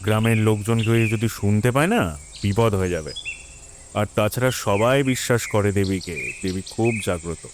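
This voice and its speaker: Man playing a cautious, lowish-voiced young villager. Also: moderate pace, background noise, lightly acted. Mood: warning, reverent. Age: young adult. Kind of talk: audio drama acting.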